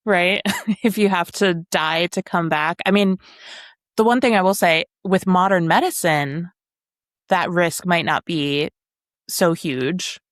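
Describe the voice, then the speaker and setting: A woman's voice: on the low side, takes it slowly, dry recording, studio quality. A woman co-hosting a podcast, chatting casually about books with a wry, sometimes sweary manner. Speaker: podcast co-host. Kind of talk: casual book discussion chat.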